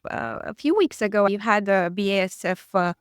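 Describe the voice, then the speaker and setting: A woman's voice: medium pitch, lively intonation, normal speed, clear, resonant tone, no room sound, studio quality. A woman, a guest chatting casually in an interview, hesitant with frequent fillers and restarts. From Romania.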